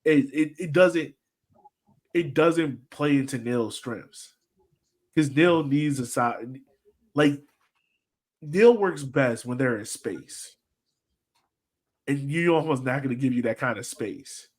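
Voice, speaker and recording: A man's voice: medium pitch, slow, long pauses, husky. A man hosting a casual sports podcast, talking informally and thinking aloud as he rambles through his analysis. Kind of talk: casual soccer fan chat and analysis.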